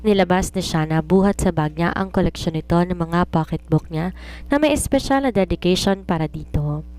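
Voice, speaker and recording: A woman's voice: fairly low pitch, moderate pace, darkish tone. A woman reading a romance story aloud as a scripted narrator. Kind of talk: scripted narration of a romance novel, voicing the dialogue.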